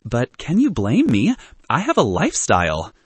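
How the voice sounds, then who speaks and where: A man's voice: mid-range voice, huge pitch swings, moderate pace, breathy edge, no room sound, exaggerated voice. A man voicing a status-conscious young woman, a materialistic ex, cool and probing, in an audiobook story. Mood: defensive.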